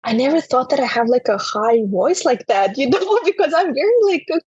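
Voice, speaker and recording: A young woman from Turkmenistan with a Turkmen accent, telling her personal story as an interview guest in a halting way, with many "you know" fillers. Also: sits high, expressive, a little mellow, husky, no echo, dull audio.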